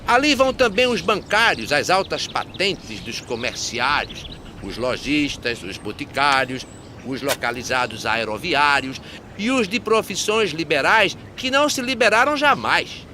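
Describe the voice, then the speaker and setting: Bright, swooping, sardonic gravedigger. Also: male voice, on the high side, big pitch swings, measured pace, a little hiss or hum, exaggerated voice. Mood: sardonic, declaiming. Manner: declamatory, rhyming, sardonic. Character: grumbling, sardonic male cemetery gravedigger. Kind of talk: animated film verse dialogue.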